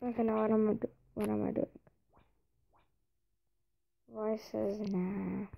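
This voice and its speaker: A woman's voice: mid-range voice, flat delivery, a little slow, vocal fry. A young woman chatting on a casual live stream, distracted and muttering to herself as she reads the chat. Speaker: livestreamer. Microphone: phone or device mic. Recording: dull lo-fi phone stream with faint noise.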